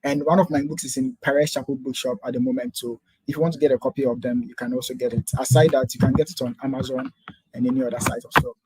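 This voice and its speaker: A man's voice: medium pitch, fairly even intonation, noisy background. A man in his twenties from Ghana with a Ghanaian accent, eagerly explaining his background as an interview guest. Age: 20s. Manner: quick, eager, explaining step by step. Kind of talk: interview answers.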